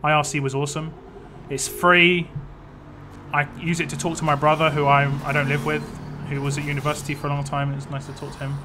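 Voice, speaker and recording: A man's voice: on the high side, little pitch movement, quick pace, noisy background. A man casually chatting on a live stream, reading viewer comments aloud and answering them as he plays. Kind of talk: casual live stream chat while playing a mobile game.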